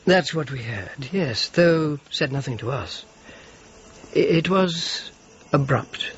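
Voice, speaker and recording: A grave, careful friar in a radio play, with a fairly high voice and very lively intonation. He hesitates as he speaks, sounding solid and discreet, on a slightly muffled recording. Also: takes it slowly, heavily acted. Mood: grave, regretful. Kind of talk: radio drama dialogue. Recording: dull lo-fi radio drama, faint noise.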